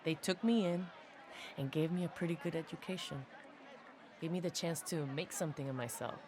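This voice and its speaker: A woman's voice: sits low, fairly quick, crowd noise, natural voice. Casting: actress as street-raised female soldier, reflective and confiding video game log, slight background noise. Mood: reflective, fond. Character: young female special forces soldier, tough favela-raised street kid. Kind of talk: dramatic first-person audio log narration.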